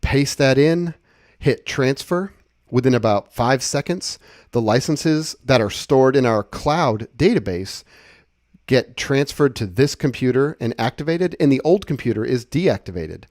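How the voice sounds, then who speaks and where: A man giving a casual, conversational software walkthrough, explaining things step by step. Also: medium pitch, expressive, measured pace, no echo, clean studio sound. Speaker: software tutorial presenter.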